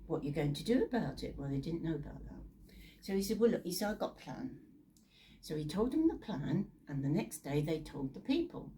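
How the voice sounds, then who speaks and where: A woman's voice: low-pitched, big pitch swings, brisk, warm tone, a little hiss or hum. A British woman telling Bible stories in a chatty, informal way, with theatrical asides and rhetorical questions. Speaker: storyteller.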